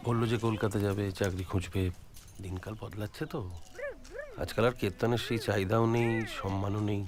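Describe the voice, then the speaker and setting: An actor playing a sober, responsible older brother in a naturalistic family drama, plain and measured in manner. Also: male voice, lowish voice, fairly even intonation, close to an everyday voice. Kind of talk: naturalistic film drama dialogue.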